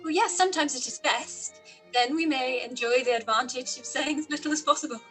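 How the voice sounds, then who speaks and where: A witty, proud young gentlewoman of a period radio play, acted by a woman. Her voice is high and bright, and she spars archly in formal, pointed phrases. Faint music plays behind her. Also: brisk, poor audio. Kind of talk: period drama live radio-play reading.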